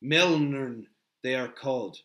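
A man's voice: mid-range voice, slow, a bit bright, pitched below the performer's own voice. A man voicing a grave, wistful male elf who recites verse and lore in a lyrical, solemn storybook manner. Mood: calm. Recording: roomy, echoing sound.

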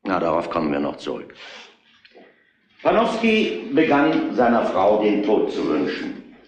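An actor playing a gruff, domineering police inspector who deliberately lays out a case in a retro crime radio play. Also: male voice, on the low side, wildly swooping pitch, echoey room, slight background noise, poor audio. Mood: grave, deliberate.

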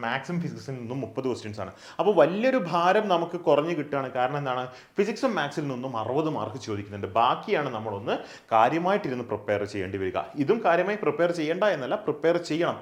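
A young man teaching an exam prep lesson. He asks questions and answers them himself, and he keeps adding quick tag questions. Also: on the high side. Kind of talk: explainer lesson on an exam, mixing English terms. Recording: echoey room.

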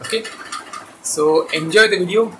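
A man's voice: high voice, unhurried, a bit bright, slight background noise, low-quality recording. A man, presenting to camera in a small room and explaining things in simple short phrases. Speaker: host, cartoonist and illustrator. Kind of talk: scripted-style video introduction to camera. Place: small plain room indoors.

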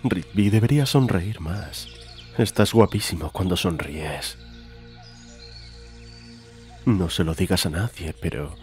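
A man's voice: deep voice, darkish tone. A man with a Spain accent voicing a creepy, predatory gumball-machine boss in a parody cartoon, insinuating and unctuous. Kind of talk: adult parody cartoon fandub.

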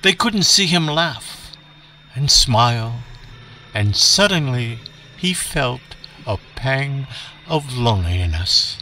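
A man performs an eccentric professor reading a children's story as if on old radio. The voice is bright and very animated, with slow, theatrical phrasing and dramatic pauses. It sits a little away from the microphone. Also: medium pitch, recorded from a distance, a little hiss or hum. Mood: measured wonder.

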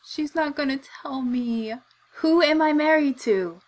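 Giggly young woman with a high voice. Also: unhurried. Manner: giggly, bemused, pressing with questions. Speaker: gamer. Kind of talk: let's play commentary. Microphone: desk microphone.

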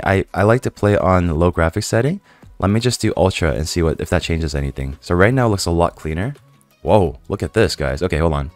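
Brief: young male gaming vlogger, very low voice, a bit hoarse, dry close sound, rambly casual chat. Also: no echo. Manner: chatty, rambling, many fillers and self-questions. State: hoarse from a cold. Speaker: gaming YouTuber. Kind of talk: casual game commentary to viewers.

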